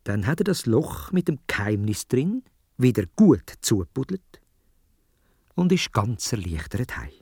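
A man's voice: mid-range voice, sing-song, measured pace, darkish tone, slightly rough, dry recording. A man with a Swiss German accent telling a story for children in a dramatic way, addressing his listeners directly.

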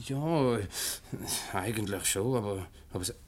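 An actor playing a timid, henpecked husband in a Swiss German radio comedy, stammering hesitant protests. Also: male voice, lowish voice, animated, a little slow, darkish tone, slight background noise. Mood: flustered, hesitant. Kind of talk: radio comedy acting in dialect.

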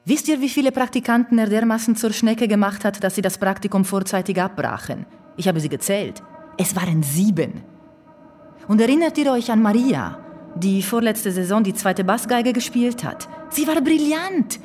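An actress playing a caring, outspoken orchestra colleague who speaks emphatically in a radio drama. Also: female voice, mid-range voice, expressive, normal speed, darkish tone, natural voice. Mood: indignant. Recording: reverberant room with hum.

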